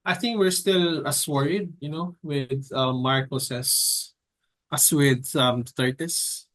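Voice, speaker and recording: A young man from the Philippines with a Filipino accent, talking casually in an interview in a hesitant, measured way with frequent pauses. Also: mid-range voice, fairly even intonation, a bit bright, poor audio. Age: young adult. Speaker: editorial cartoonist being interviewed.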